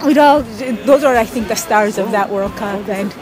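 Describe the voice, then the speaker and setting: A woman in her thirties from Ethiopia, telling stories in a casual interview with thoughtful pauses and soft laughs. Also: medium pitch, music in the background, a crowd in the background. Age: 30s. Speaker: interviewee, pickup soccer player.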